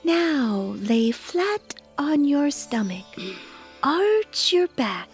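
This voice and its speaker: Soothing yoga teacher in audio play, super slow, high voice, soft sitar behind. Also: female voice, sing-song, heavily acted. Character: soothing female yoga instructor, unhurried. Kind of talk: guided exercise in audio drama. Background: sitar music.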